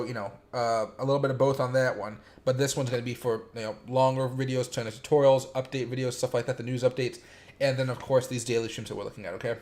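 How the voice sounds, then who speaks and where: A man hosts a casual crypto livestream, his voice slightly sore and raspy from streaming every day. He rambles through chart talk with plenty of fillers and stops to greet viewers in chat by name. His pitch sits in the middle range for a man. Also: a little mellow.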